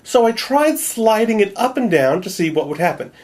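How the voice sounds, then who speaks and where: A man's voice: high voice, very animated, normal speed, darkish tone. A man with a nasal voice narrating a tutorial video in a wry, self-deprecating, joking way. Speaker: video creator.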